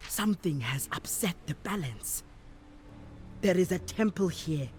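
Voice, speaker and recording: An actress playing a calm, devout warrior priestess of a sea goddess in a video game, speaking in a measured, solemn way. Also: female voice, on the low side. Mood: grave. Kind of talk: dramatic video game acting. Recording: music under the voice.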